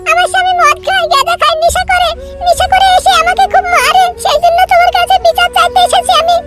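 A performer voicing a plaintive young married woman in a comic cartoon, with a squeaky, shrill, pitched-up voice. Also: steady tone, quick pace, recorded from a distance. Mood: plaintive, pleading. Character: young married woman, tearful, pleading battered wife. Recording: music behind the voice.

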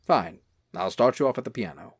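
An actor plays a charming angel in an audio drama. His voice is on the low side with lively pitch movement, and his manner is unruffled and reassuring. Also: fairly quick, no room sound, studio quality. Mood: friendly, encouraging. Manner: smooth, easygoing, reassuring. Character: charming, unruffled angel posing as bishop's assistant.

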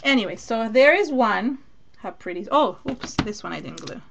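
A woman from Venezuela whose English carries a Spanish accent, talking her way through a live craft demonstration. Her voice has a noticeable gravelly fry, and she chats easily, thinking aloud and replying to viewers as she works. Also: fairly high pitch, expressive, moderate pace, noisy background. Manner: chatty, thinks aloud while working, answers viewer comments.

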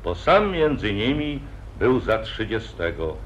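A man's voice: fairly low pitch, big pitch swings, a little slow, slight background noise. A man narrating an old epic in solemn verse, in 1940s radio acting style. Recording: dull lo-fi 1948 radio recording.

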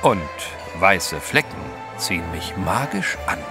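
An actor playing a debonair English gentleman adventurer, urbane, formal and dryly witty, in a German audio drama. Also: low-pitched, sing-song, slow pace, music in the background, exaggerated voice. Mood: suave, wry.

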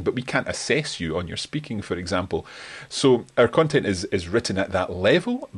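Scottish host in his forties, deep voice, relaxed and chatty on a home live stream, lots of ums. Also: breathy edge. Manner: relaxed and friendly, thanks viewers, frequent fillers. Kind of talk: live stream Q&A, reading comments. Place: home study lined with bookshelves. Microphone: desk microphone in front, webcam framing.

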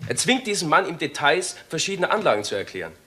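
A man acting an eager, impatient younger detective in a crime radio drama, brisk and insistent. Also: fairly high pitch, big pitch swings, bright, forceful tone.

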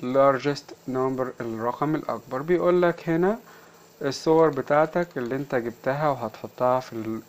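Egyptian arabic teacher going thru a kids workbook, medium pitch, repeats phrases word by word in english then arabic. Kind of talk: screen-recorded lesson explaining a children's workbook, translating English into Arabic.

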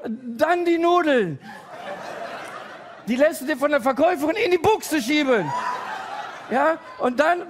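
High-voiced hesitant stand-up comedian. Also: male voice, noisy background. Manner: halting, hesitant delivery with many pauses and fillers; deadpan, growing excited and loud toward the end.

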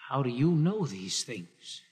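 An actor playing an earnest, insistent young rebel in a 1950s radio drama. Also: male voice, medium pitch, big pitch swings, takes it slowly, a little mellow, husky, exaggerated voice. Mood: wary. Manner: intense, insistent. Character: urgent, earnest young male rebel pleading his case.

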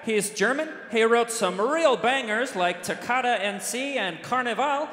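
A goofy dead Hungarian composer hosting a chat show, with a put-on Hungarian accent. The voice sits very high and swings widely. Long hall reverb with music behind. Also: male voice, expressive, measured pace, fairly bright tone, exaggerated voice. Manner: silly, playful talk-show host banter. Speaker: comedy sketch character. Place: large concert hall stage.

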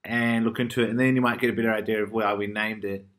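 Bright-voiced New Zealand man, relaxed. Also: lowish voice, fast. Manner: relaxed, reads out questions plainly. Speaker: musician guest. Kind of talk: casual chat. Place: indoor room by a window.

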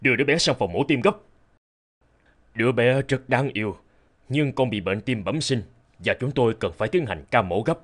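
A man's voice: medium pitch, animated, moderate pace, long pauses, close to an everyday voice. A man voicing a grave elderly doctor in a cartoon drama, speaking formally and apologetically. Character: grave elderly male heart doctor breaking bad news.

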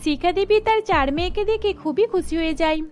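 A woman's voice: extremely high pitch, a little fast, darkish tone. A woman storyteller reading scripted narration for a cartoon, with music underneath.

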